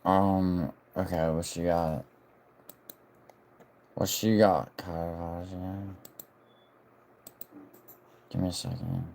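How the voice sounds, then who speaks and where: A man's voice: low voice, expressive, slow pace, vocal fry, pauses often, a little mellow, a little hiss or hum. A young man hosting a casual livestream, rambling, swearing and reading viewer chat aloud. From born in Italy, raised in New York City. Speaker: livestream host.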